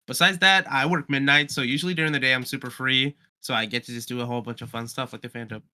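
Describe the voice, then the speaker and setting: Bright, forceful young man chatting casually. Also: medium pitch, steady tone, fast talker. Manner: slangy, enthusiastic. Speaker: podcast guest, amateur voice actor. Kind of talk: casual chat.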